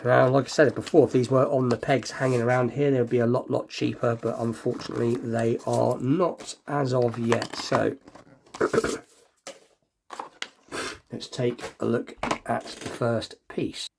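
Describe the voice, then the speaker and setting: Male hobby vlogger, mid-pitched, a bit nasal with a slight cold, informal and thinking out loud. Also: level tone, unhurried, vocal fry, background noise. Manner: rambling, thinking aloud. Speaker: hobby unboxing video host. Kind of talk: casual chatty unboxing commentary.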